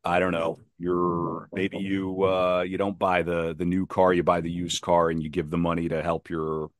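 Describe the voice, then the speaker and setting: A man's voice: low-pitched, level tone, moderate pace, no echo, clean studio sound. A man chatting casually on a podcast, emphatic and given to repeating his phrases for effect.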